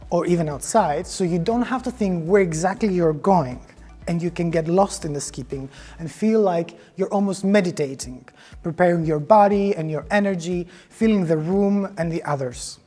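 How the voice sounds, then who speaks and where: Mellow Greek-accented man teaching dance. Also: high-pitched. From Greece. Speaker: choreographer, dance instructor. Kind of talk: scripted tutorial narration.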